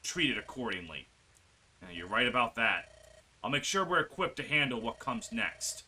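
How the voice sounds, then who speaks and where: A man's voice: mid-range voice, expressive, normal speed, pushed and bright, slight background noise, poor audio. A man voicing earnest fantasy game companions as he reads their dialogue aloud dramatically. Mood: earnest, urgent, then assured. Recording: music behind the voice.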